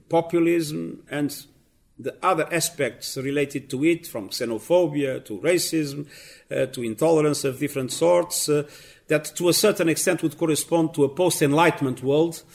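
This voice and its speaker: A man giving measured political commentary as an interviewed guest, speaking slowly and deliberately with frequent pauses. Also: fairly high pitch, creaky.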